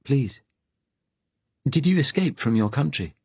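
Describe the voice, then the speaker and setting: A man's voice: mid-range voice, big pitch swings, unhurried, vocal fry, darkish tone, breathy edge, no echo, pitched above the performer's own voice, heavily acted. Nervous timid man, lifted voice by a male narrator. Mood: earnest, curious. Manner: hesitant, anxious, questioning. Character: nervous, timid, fragile fugitive man, earnest and haunted. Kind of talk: audiobook character dialogue.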